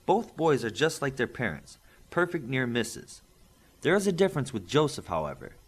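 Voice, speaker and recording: A man reading the part of a polite, firm official woman in her thirties, formal and measured, in an audiobook. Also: mid-range voice, sing-song, pitched above the performer's own voice. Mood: polite, businesslike.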